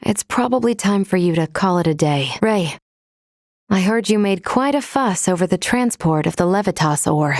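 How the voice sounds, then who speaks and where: A woman voicing a composed, dutiful android crew member in a video game, speaking in a measured, formal, precise way. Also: lowish voice, expressive, dry recording, clean studio sound. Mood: calm, measured. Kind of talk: scripted video game character lines.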